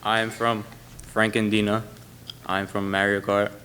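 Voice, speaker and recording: A man's voice: lowish voice, completely flat delivery, moderate pace, bright, forceful tone. A young man from Queens, a student reciting a personal spoken word poem. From Queens, New York. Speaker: student performer. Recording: reverberant hall, faint noise.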